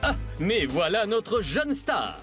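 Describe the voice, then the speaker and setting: Casting: pompous gushing cartoon TV host, high pitch, swooping delivery, music behind. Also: male voice, sing-song, slow pace, exaggerated voice. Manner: pompous announcing. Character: grandiose, gushing male television presenter. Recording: announcer over loud music.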